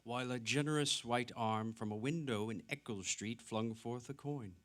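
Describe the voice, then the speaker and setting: A man's voice: medium pitch, moderate pace, fairly bright tone. A man narrating literary fiction in a dramatic reading. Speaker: actor reading aloud.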